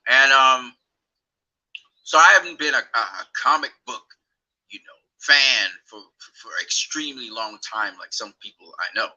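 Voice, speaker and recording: A man's voice: medium pitch, normal speed, pushed and bright, poor audio. A man from Trinidad and Tobago hosting a casual livestream chat on his phone, rambling and repeating words as he goes. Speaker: livestream host.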